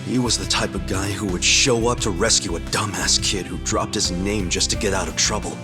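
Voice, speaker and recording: Man playing a low-voiced, earnest yakuza idealist. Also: fairly even intonation, brisk, over music, natural voice. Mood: earnest admiration. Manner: casual, frank, heartfelt. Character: earnest, brash middle-aged yakuza idealist, loyal ex-convict.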